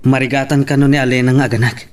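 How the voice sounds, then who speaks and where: A man's voice: medium pitch, flat delivery, quick pace, close to an everyday voice. A man playing a troubled young husband in an Ilocano melodrama, speaking with an Ilocano accent, heard at some distance. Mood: worried. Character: troubled young husband torn between two women. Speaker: voice actor in a drama.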